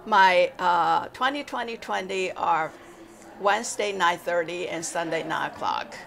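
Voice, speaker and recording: Slow, gravelly woman instructor from Taiwan. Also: fairly low pitch, a bit bright. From born in China, raised in Taiwan. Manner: halting, with frequent uh fillers and simple grammar. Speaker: exercise instructor. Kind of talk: casual interview. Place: indoor library room, seated in armchair.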